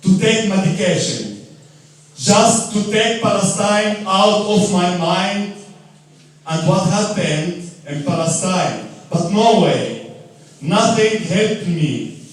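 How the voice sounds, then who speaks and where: Slow, earnest man in an echoey room. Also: sits high, lively intonation. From Lebanon. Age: 30s. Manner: slow, earnest and reflective, with restarts. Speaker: storyteller. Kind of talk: personal story read aloud. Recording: very echoey room, voice far from mic.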